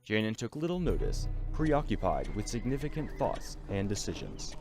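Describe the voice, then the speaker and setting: A man's voice: on the low side, very animated, normal speed, over music. A man playing a storybook narrator, telling a dramatic tale in scripted narration. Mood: measured, suspenseful.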